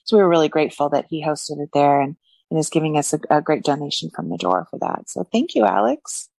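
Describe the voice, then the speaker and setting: A woman's voice: deep voice, lively intonation, quick pace, creaky, a little mellow, slightly rough, dry recording. A woman co-hosting a podcast in casual chat, upbeat and earnest. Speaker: podcast co-host.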